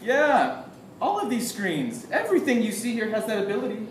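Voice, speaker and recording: A man acting a brisk, mildly dismissive commuter in a stage play, with emphatic, matter-of-fact theatrical delivery. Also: high voice, wildly swooping pitch. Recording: echoey noisy theater, distant mic.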